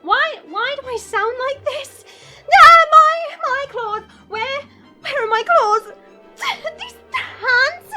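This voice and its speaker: Woman acting as a haughty, shrill dragon princess. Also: expressive, slow pace, bright, forceful tone, faint music behind, heavily acted. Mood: shocked, distressed. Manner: imperious, indignant, dramatic. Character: haughty, hot-tempered dragon princess turned small horned woman. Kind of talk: audio roleplay acting.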